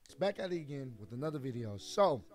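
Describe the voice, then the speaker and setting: A man reviewing a wrestling show with excitement and slang, his voice hoarse from losing it. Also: mid-range voice, fast, soft background music. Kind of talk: energetic review chat.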